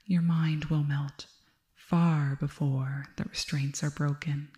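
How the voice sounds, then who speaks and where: A calm alien girl played by a woman hypnotizes her human captive in an ASMR roleplay. Her voice is low, soft and mellow, mostly whispered with a breathy edge. She gives soothing commands with quiet, measured authority. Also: full character voice. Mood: calm, controlling.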